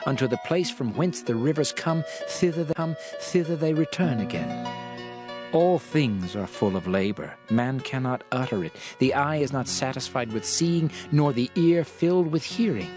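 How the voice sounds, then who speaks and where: Music plays clearly behind a solemn king acted by a man, reflective and weary of the world. He declaims dramatized scripture in measured phrases, with a mid-pitched voice. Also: lively intonation, lightly acted.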